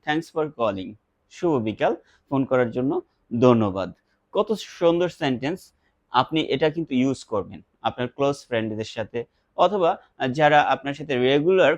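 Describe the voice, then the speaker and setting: A man with a Bangladeshi accent speaks as an English teacher, in a dry recording with very little room sound. He is instructive, giving example phrases with slow emphasis and then explaining them. His pitch is average for a man. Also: no echo, lo-fi sound. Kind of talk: spoken English lesson with example phrases and translations.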